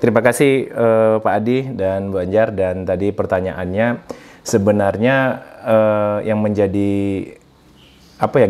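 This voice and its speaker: A man's voice: lowish voice, darkish tone. A middle-aged man from Sumatra with an Indonesian accent, speaking as a webinar guest in an earnest, rambling, conversational motivational style. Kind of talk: informal motivational talk.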